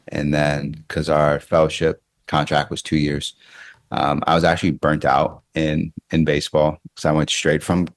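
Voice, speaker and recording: American man, extremely deep pitch, dry sound, reflective interview talk, halting and repetitive phrasing. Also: no room sound. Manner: halting, repeats phrases as he thinks aloud. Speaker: baseball coach interviewed as podcast guest.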